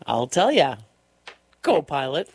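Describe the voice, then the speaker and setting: A woman co-hosting a morning radio show, teasing quickly and laughing easily. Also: low voice, very animated, breathy edge. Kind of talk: casual morning show banter. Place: radio studio.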